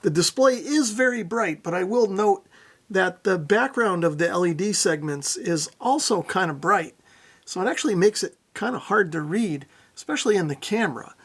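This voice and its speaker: A man's voice: sits high, expressive, moderate pace, a bit bright, breathy edge. A middle-aged man narrating a casual hands-on product demo, explaining things methodically and without hurry. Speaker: radio reviewer, presenter.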